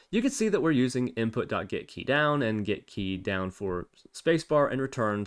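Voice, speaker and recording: A man with a mid-pitched voice narrates a screencast tutorial. He talks informally and explains each step as he goes, in a casual presenter's delivery.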